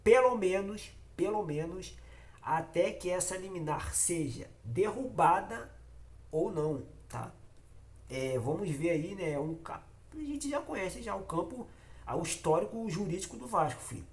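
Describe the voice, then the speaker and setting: A man's voice: sits high, animated, measured pace. A man with a Carioca accent who has a cold, his voice nasal, hosting a casual football fan vlog and talking in a repetitive, emphatic way straight to his viewers. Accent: Carioca Brazilian Portuguese. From Rio de Janeiro, Brazil. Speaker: football fan channel host.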